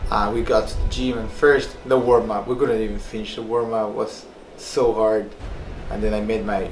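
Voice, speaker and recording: A man's voice: lowish voice, level tone, normal speed, reverberant, a little hiss or hum, low-quality recording. A young man from Peru, a personal trainer telling his life story in a loose, rambling way, full of fillers. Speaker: personal trainer and former fighter. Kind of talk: casual personal story to camera.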